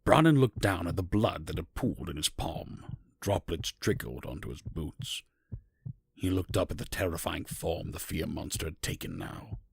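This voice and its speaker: A man's voice: deep voice, expressive, normal speed, creaky, breathy edge, no room sound. A man narrating a scripted fantasy story as its narrator.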